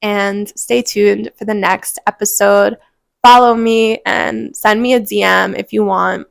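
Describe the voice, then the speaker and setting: A woman's voice: medium pitch, level tone, a little slow, vocal fry, no room sound. A woman hosting a motivational podcast, talking earnestly and encouragingly straight to her listeners, a little congested. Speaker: podcast host.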